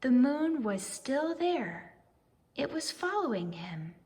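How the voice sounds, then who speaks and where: A woman reading a children's storybook aloud in an expressive, narrated style. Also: high-pitched, takes it slowly, big-room sound. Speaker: storybook reader.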